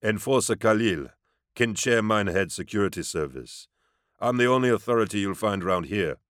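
A man's voice: fairly low pitch, lively intonation, moderate pace, fairly bright tone, no echo, studio quality. A man voicing a big, grizzled security enforcer, curt and commanding, in an audiobook. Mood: gruff, suspicious.

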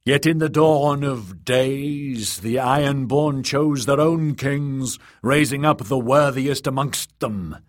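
Man as fanatical priest, solemn, commanding, slow delivery, sweeping intonation, fantasy audiobook. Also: mid-range voice, fairly bright tone, pitched above the performer's own voice. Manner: solemn, commanding, exhortative preaching. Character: bony, fervent male priest-prophet of a sea god. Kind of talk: dramatised audiobook character voice.

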